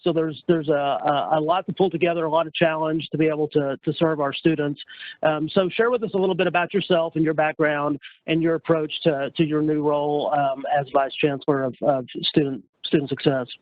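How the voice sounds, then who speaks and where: A man moderates a virtual town hall in a friendly, halting way, often stumbling and restarting his sentences. His voice sits fairly high for a man, and it comes through a dry, phone-like video-call line. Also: fairly even intonation.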